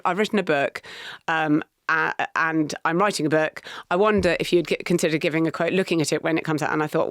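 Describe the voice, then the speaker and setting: A middle-aged woman, co-hosting a casual podcast chat, animated and talkative, laughing often. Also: sits low, dry recording, studio quality. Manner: animated, chatty, with laughs and restarts. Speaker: podcast co-host.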